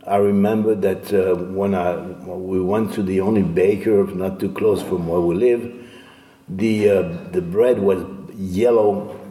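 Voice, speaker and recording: Older French man, slow and halting. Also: deep voice, fairly even intonation, warm tone, roomy sound, in a large hall. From France. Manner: halting, many fillers and restarts. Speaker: guest speaker. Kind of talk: lecture talk.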